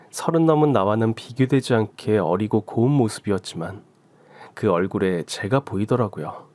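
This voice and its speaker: A man narrating a woman's first-person story in an expressive storytelling style. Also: on the low side, dry recording. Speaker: story reader. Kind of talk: storytelling radio drama narration.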